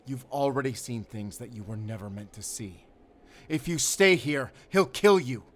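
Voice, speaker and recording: An actor playing a desperate, defiant werewolf hunter in an audio drama, intense and commanding, with a pleading edge. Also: male voice, mid-range voice, lively intonation, moderate pace, a little hiss or hum, lightly acted. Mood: grim urgency. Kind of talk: cinematic audio roleplay acting.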